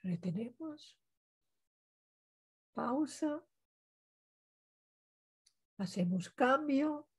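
A woman guides a meditation in a very husky, breathy voice. She speaks extremely slowly, in short, calm instructional phrases. Long pauses separate each step. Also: sits high.

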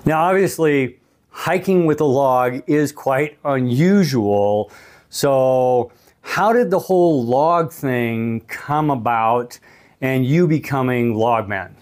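A man in his early sixties speaks as a vlogger and interviewer in casual, conversational style. His pitch sits in the middle for a man. He is close on a clip-on mic in a small room. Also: expressive, slow pace, a little mellow. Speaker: vlogger, thru-hiker interviewing another hiker. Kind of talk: casual vlog talk to camera. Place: small hotel room, indoors. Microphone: clip-on lavalier on the chest.

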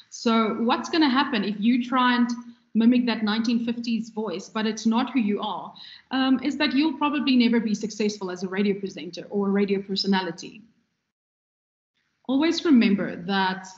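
Woman lecturing in an echoey room. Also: fairly high pitch. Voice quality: trained, loud voice. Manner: measured, instructive, with frequent ums. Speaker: radio lecturer. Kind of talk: recorded lecture. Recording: echoey, dull lo-fi room recording.

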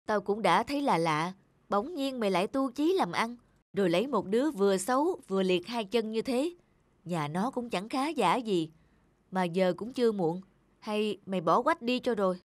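A woman voicing a crude, mocking male drinking buddy in an animated cartoon. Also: medium pitch, normal speed, no echo, lightly acted. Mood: scornful. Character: blunt male drinking buddy, coarse and scornful.

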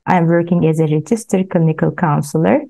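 A woman's voice: deep voice, brisk, gravelly, dark tone. A woman in her forties from Turkey, a guest on a video call, introducing herself in a friendly, slightly hesitant way. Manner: friendly, hesitant phrasing with fillers. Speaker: guest, clinical counselor. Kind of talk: casual video call chat.

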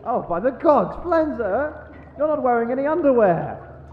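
Prim, huffy adventurer, male actor, high lively voice, comic fantasy audio. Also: moderate pace, narrow, tinny audio. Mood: disgusted, fussy. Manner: fussy, exasperated, formal phrasing. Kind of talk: comedic fantasy audio drama. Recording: echoey reverberant room with some noise.

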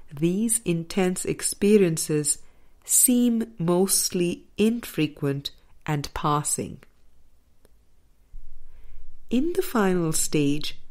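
A woman reading a spiritual audiobook as scripted narration, with measured, deliberate phrasing. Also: lowish voice, darkish tone. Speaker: audiobook reader.

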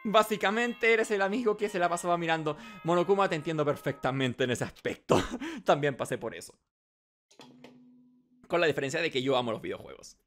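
A man's voice: on the high side, animated, fairly quick, fairly bright tone. A man streaming a video game, reading its dialogue aloud with casual commentary. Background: game music.

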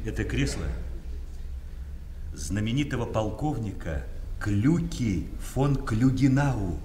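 Lively, erudite man in radio drama. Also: medium pitch, slow. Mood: pompous. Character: talkative, ironic historian turned furniture inspector. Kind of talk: Soviet-era radio play acting. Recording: roomy, slightly hissy old radio recording.

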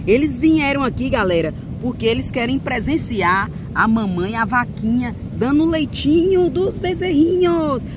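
A woman narrating children's toy play outdoors in a sing-song, animated way, with playful sound effects, recorded on a phone. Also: high voice. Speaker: host of a kids' toy channel. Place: outdoors on grass in a beach park. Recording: outdoor noise with passing traffic.

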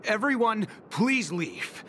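Dramatic, animated male video game guard. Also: high voice, takes it slowly, slight background noise, exaggerated voice. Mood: apologetic, pleading. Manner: dramatic, exasperated, apologetic. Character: principled, flustered male security guard, pleading and stubborn. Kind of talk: anime video game voice acting.

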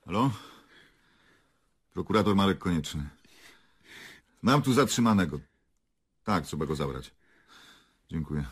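A man's voice: sits low, expressive, a little slow, pauses often. An actor playing a stern, probing male prosecutor in a radio play, formal and accusatory. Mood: cool, official. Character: stern male prosecutor interrogating murder suspects, cool and probing.

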